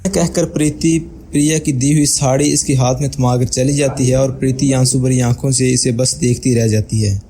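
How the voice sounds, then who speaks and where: Guy narrating a story, soft dark mellow voice, normal pitch, bit of background noise. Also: fairly even intonation, moderate pace.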